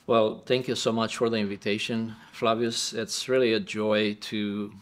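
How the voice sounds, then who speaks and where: Earnest Romanian-accented man, low voice. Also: flat delivery, moderate pace. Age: middle-aged. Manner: earnest and reflective, with frequent repeated words. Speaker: guest, pastor and professor. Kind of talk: storytelling interview.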